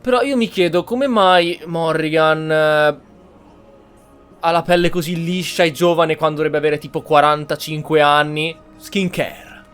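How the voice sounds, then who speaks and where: A man's voice: a little high, fairly even intonation, normal speed, fairly bright tone, smooth, soft background music. A man with an Italian accent giving casual game commentary, colloquial and sardonic. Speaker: gamer, streamer.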